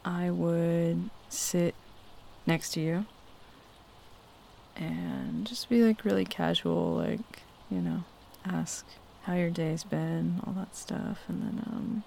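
A woman playing a shy, smitten young tomboy roommate in an intimate ASMR roleplay, halting and flustered as she talks. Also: lowish voice, expressive, creaky, mellow, exaggerated voice. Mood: tender, hesitant. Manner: halting, hesitant, flustered with nervous chuckles. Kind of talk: ASMR roleplay, intimate one-sided dialogue. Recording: faint rain noise behind close voice. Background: rain falling.

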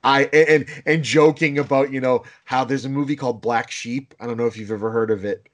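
A man from Boston with a Boston accent, chatting casually in a rambling, animated way with many restarts and casual swearing. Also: medium pitch, fairly quick. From Boston, United States. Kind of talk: casual chat.

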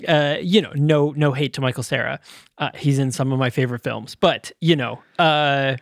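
A man's voice: medium pitch, normal speed, vocal fry, no echo, clean studio sound. A young man co-hosting a podcast, chatting casually about a movie in a rambling way, with many restarts and freely swearing. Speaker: podcast co-host. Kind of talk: casual movie review chat.

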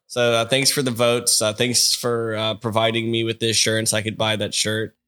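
A man's voice: on the low side, flat delivery, normal speed, sharp tone, clean tone. A man co-hosting a casual podcast, rambling with lots of fillers, his voice stuffy and congested. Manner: rambling, filler-heavy, upbeat. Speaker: podcast co-host.

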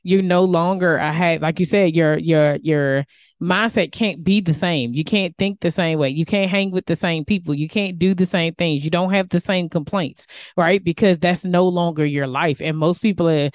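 Low-voiced affirming woman host. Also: normal speed, no echo, studio quality. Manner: affirming, lots of backchannel agreement. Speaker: podcast host. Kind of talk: conversational interviewing.

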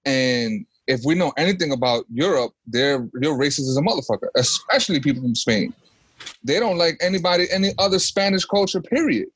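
Husky-voiced man, emphatic and slangy. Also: fairly high pitch, brisk, fairly bright tone, dry recording. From grew up in a US inner-city neighborhood, Dominican background. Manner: emphatic, slangy, street-talk with frequent swearing. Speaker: panelist. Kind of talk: casual group discussion.

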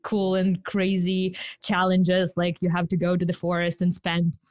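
A woman's voice: fairly low pitch, unhurried, dry recording, dull audio. Bubbly Ukrainian-accented woman. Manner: bubbly and excitable, laughs often. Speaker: podcast co-host. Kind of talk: casual chat.

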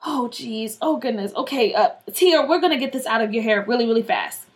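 A woman's voice: fairly high pitch, expressive, fairly quick. A woman voicing a bossy, alarmed older sister who scolds and takes charge in a children's story. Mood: alarmed, scolding. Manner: scolding, urgent, exclaiming.

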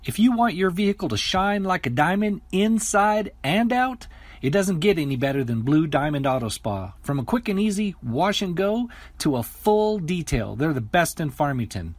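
High, lively male ad announcer. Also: normal speed, no room sound. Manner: upbeat sales pitch. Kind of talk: scripted radio ad read.

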